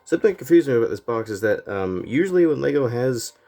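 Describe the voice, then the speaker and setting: A man's voice: mid-range voice, quick pace, faint music behind. A man casually reviewing a toy set, sounding congested and a little nasal from a cold, rambling with plenty of fillers. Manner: rambling, relaxed, lots of fillers.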